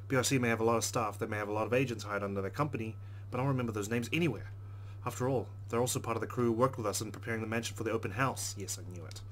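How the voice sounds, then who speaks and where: A man narrating a visual novel aloud in a dramatic, expressive read-aloud style, with muttered asides. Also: lowish voice, a little hiss or hum. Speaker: let's play narrator.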